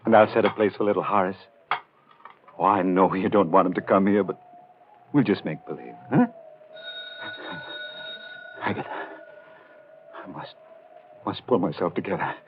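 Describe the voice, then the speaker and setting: Brief: male actor as mad, jealous sculptor, 1940s radio thriller. Deep, halting, intense delivery on a dull vintage recording. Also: background noise, lightly acted. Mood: strained hospitality. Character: brooding, jealous sculptor, quietly mad and menacing. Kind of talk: 1940s radio horror acting. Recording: muffled 1940s radio recording, music behind.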